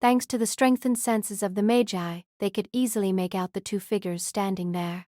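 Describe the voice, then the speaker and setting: A woman's voice: mid-range voice, steady tone, moderate pace, mellow, no room sound, studio quality. A woman reading scripted third-person novel narration as an audiobook narrator.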